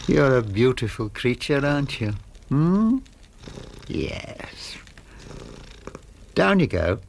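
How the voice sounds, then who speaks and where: Slimy posh english blackmailer, mock polite, slow and really swoopy, radio play. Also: male voice, mid-range voice. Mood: purring, smug. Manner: oily, theatrical, falsely polite, deliberate. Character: silky, menacing older gentleman blackmailer, mock-courteous. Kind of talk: radio drama acting.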